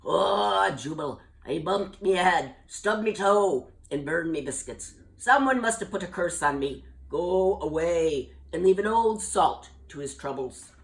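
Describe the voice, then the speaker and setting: A woman's voice: fairly low pitch, expressive, a little slow, vocal fry, hardly any pauses. A woman doing a blustery old sea captain. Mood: grumpy. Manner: loud, blustery, piratey. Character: gruff old male sea captain, grumbling salt. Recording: echoey room.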